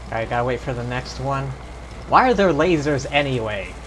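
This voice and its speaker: A man's voice: medium pitch, lively intonation, brisk, fairly bright tone, a little hiss or hum, poor audio. A man giving casual live commentary as he plays a game, muttering and reacting to it. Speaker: game streamer. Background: video game music and sounds.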